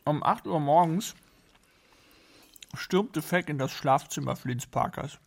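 A man narrating a literary radio play as a scripted storyteller, with a gravelly, husky voice. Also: a little high, slow.

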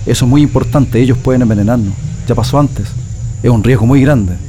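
A man's voice: medium pitch, brisk, noisy background, close to an everyday voice. An actor with a Chilean accent playing a defiant male political prisoner in a radio drama, earnest and emphatic. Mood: resolute, confiding. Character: defiant male political prisoner under a dictatorship, grieving and organizing resistance. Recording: music bed under the voice.

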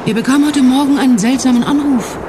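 A man playing a brisk, self-assured amateur sleuth in a comic radio crime drama. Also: extremely high pitch, noisy background. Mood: matter-of-fact.

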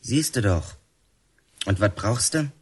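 Gruff, mocking young male convict for radio drama, slangy, very low and rough-edged. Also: expressive, slow, full character voice. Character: tough, scornful young male reformatory inmate. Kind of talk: German radio drama acting.

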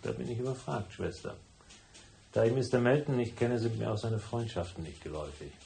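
An actor playing a cool, wisecracking private detective in a noir radio drama, speaking laconic with dry sarcasm. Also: male voice, mid-range voice, a little fast, gravelly, warm tone, a little hiss or hum, close to an everyday voice. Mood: dry, guarded. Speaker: lead actor and first-person narrator.

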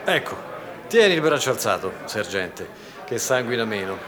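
A man's voice: fairly low pitch, sing-song, normal speed, a crowd in the background. An actor playing a wry, take-charge male party host in a radio drama, exclamatory and commanding. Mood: jokingly commanding. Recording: music behind the voice.

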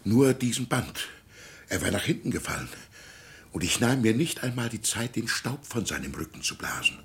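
An actor playing a frail, gentle old village pastor in a radio drama, anxious and musing, hesitant and sometimes sinking toward a whisper. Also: male voice, lowish voice, sing-song, normal speed, gravelly, a little hiss or hum. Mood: troubled, reflective. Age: older. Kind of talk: German radio drama acting.

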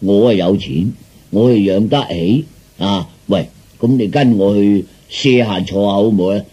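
A man's voice: fairly low pitch, moderate pace, dark tone, breathy edge, voice pushed down. A man voicing a vain, gullible old rich man in Cantonese, stammering and repeating his words. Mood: pleased, self-important.

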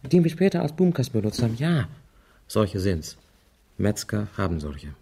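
An actor playing a confident husband who speculates over grim clues in a conversational, assertive way in a radio crime drama. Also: male voice, on the low side, sing-song, normal speed, creaky, dark tone, husky, full character voice. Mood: musing.

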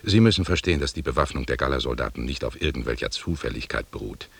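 An actor playing an imperious, condescending expedition leader in a German radio drama, lecturing deliberately in a gravelly, husky voice. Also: male voice, very deep voice, lively intonation, heavily acted. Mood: stern, lecturing.

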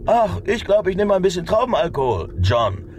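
A man acting in a German radio drama as a stubborn, anxious middle-aged widowed father and factory worker, halting and emotional. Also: high voice, huge pitch swings, noisy background. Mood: wry, self-soothing. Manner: halting, emotional, muttering to himself.

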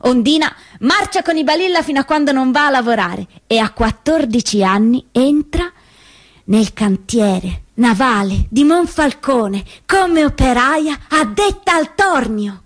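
A woman narrating a scripted story as a solo theatre performer, expressive and deliberate in her telling. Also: sits high. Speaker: solo stage actress and storyteller.

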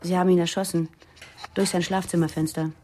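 An actress playing an uneasy young militant woman confiding secrets in a radio drama, hushed, urgent and earnest. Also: lowish voice, little pitch movement, fairly quick, a little hiss or hum, lightly acted. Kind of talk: German radio drama dialogue.

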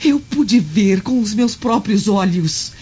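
An actress with a Brazilian accent playing a devout, gentle Roman wife and mother in a radio drama, earnest and pleading. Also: mid-range voice, expressive, takes it slowly, breathy edge. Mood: pleading, fervent. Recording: dull lo-fi recording.

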